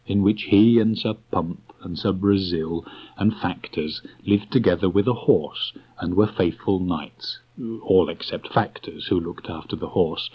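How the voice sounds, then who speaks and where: A man narrates a children's storybook in a low, husky voice. The reading is measured and very unhurried. The recording is muffled, as from a restored cassette. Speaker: audiobook narrator. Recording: dull restored cassette tape.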